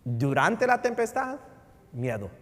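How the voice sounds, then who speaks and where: A middle-aged pastor from Houston preaching a sermon, animated, with a Texan Spanish accent and English words mixed in. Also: male voice, sits high, takes it slowly. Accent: Texan Spanish with English code-switching. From Houston, Texas. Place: church sanctuary. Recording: church sanctuary reverb.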